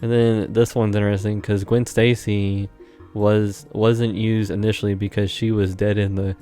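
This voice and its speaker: A young man from Indiana with a lowish, flat voice co-hosts a casual podcast, rambling loosely and filling his sentences. Faint music sits quietly underneath. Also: moderate pace. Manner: rambling, lots of "like" fillers. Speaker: podcast co-host. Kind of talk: casual chat.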